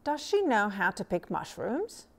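A woman's voice: medium pitch, big pitch swings, a little slow. A woman voicing a worried, well-meaning father troll in a storybook, with a fussing manner. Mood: flustered. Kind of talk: storybook character reading.

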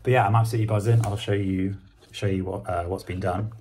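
A young man with a British accent talking casually to camera in a halting, understated way, with a husky, gravelly voice. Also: fairly low pitch, steady tone, a little mellow, slight background noise. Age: young adult. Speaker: vlogger. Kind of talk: casual vlog talk to camera.

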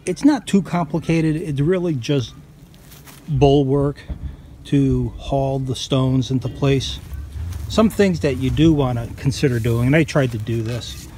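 A man's voice: mid-range voice, a little mellow. Plain-spoken man, noisy outdoor recording. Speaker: farm vlogger. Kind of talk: casual how-to narration. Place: outdoors on a farm property. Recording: outdoor noise behind the voice.

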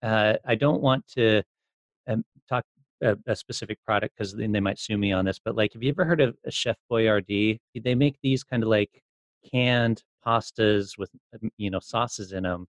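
Male podcast host, conversational interviewer, lower register, very dry recording. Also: moderate pace, no echo. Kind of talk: casual podcast interview chat.

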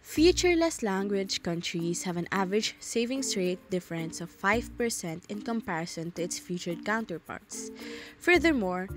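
A woman reading a scripted student voice-over about savings and language for a class report. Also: medium pitch. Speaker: student presenter. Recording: music playing underneath.

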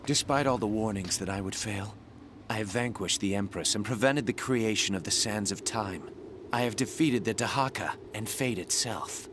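An actor playing a brooding, defiant young warrior prince in a video game, with an intense, grim delivery. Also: male voice, on the low side, moderate pace, noisy background, lightly acted. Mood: grim, resolute. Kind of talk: dramatic video game voice acting. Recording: orchestral game score behind. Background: loud game music.

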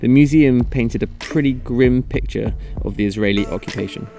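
A man narrating his travel vlog in a measured, reflective scripted voice-over. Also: medium pitch, creaky, over music. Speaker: travel vlogger.

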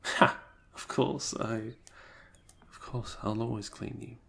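A young man speaking softly and hesitantly in an improvised ASMR roleplay, often dropping to a whisper. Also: medium pitch, steady tone, slow, creaky, slightly rough.

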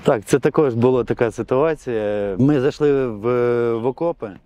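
A man's voice: mid-range voice, moderate pace, warm tone, dull audio, slight background noise. A young man, a soldier, answering interview questions outdoors in an open field. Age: young adult.

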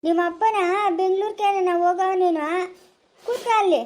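A woman's voice: very high voice, fairly even intonation, moderate pace, background noise. A woman or girl voicing a fussy, hard-of-hearing old grandmother who frets and calls out. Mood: fussing. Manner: fretful, scolding, calling out loudly.

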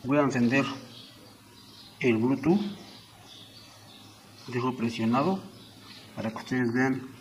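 A middle-aged man narrating a hands-on product tutorial, explaining deliberately step by step in short phrases. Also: medium pitch, slight background noise, poor audio. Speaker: product demonstrator, host. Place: small indoor room at home.

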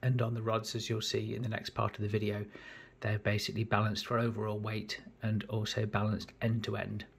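Husky-voiced man explaining an engine build. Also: fairly low pitch, completely flat delivery. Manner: methodical, plain explanatory, pauses mid-phrase. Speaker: home mechanic rebuilding an engine. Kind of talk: hands-on workshop walkthrough. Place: cluttered home workshop bench.

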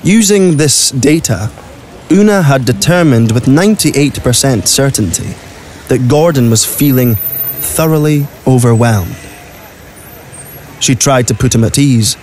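A man's voice: mid-range voice, measured pace, darkish tone, slight background noise. A man reading scripted narration for an audio drama.

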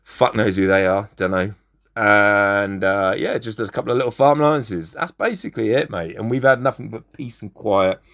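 A man with a hoarse, fading voice, chatting casually as he comments on a mobile strategy game and gives friendly shout-outs. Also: medium pitch. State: losing his voice, hoarse. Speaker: gaming channel host.

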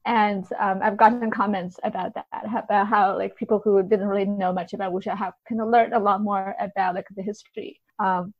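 A woman's voice: medium pitch, steady tone, brisk, hardly any pauses, slightly rough, no room sound. Thoughtful woman guest, slightly dull dry recording. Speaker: podcast guest, translator. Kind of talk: conversational interview answers.

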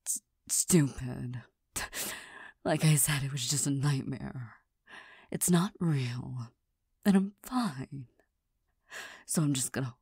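A woman voicing a proud, touchy young girlfriend who hides her tenderness, speaking in a soft half-whisper with stammers and nervous laughs into a close mic. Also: extremely low pitch, husky, no room sound, exaggerated voice. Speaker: voice actor in a listener roleplay. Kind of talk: whispered girlfriend comfort roleplay.

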